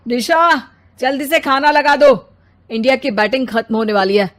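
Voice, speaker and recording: A woman's voice: high voice, fairly even intonation, moderate pace, pitched above the performer's own voice, close to an everyday voice. A woman voicing an irritable, tired office-worker father in a cartoon family story, grumbling and exasperated. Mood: irritated, impatient.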